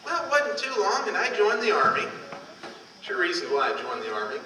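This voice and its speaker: Male comic for homespun monologue, lively swooping delivery, drawn-out rustic storytelling, heard from afar in a reverberant hall. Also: high voice, a crowd in the background. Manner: drawn-out setups, countrified storytelling in character. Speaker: amateur comedian. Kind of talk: folksy old-time stand-up monologue. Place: large hall in front of a live audience. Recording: echoey hall from far off, noisy.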